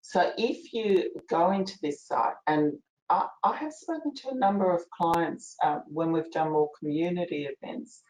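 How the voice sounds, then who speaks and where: A soft-spoken woman presents a legal information webinar, her voice low and quiet. She talks in a chatty, informal way with plenty of fillers. The sound comes through an echoey video call. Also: animated, moderate pace, few pauses. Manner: chatty, with fillers and a ready laugh.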